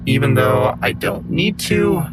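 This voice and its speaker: An actor playing a matter-of-fact, needling android AI companion in an audio drama. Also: male voice, fairly high pitch, expressive, measured pace, very noisy. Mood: dry teasing. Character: dry, teasing male AI android companion.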